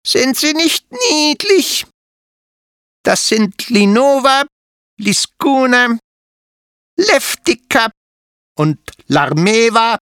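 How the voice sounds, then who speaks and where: A storyteller voicing a big, doting mother spider with a raspy, high voice in a children's audiobook. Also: very animated, very slow, long pauses, fairly bright tone, no echo, clean studio sound, heavily acted. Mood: proud.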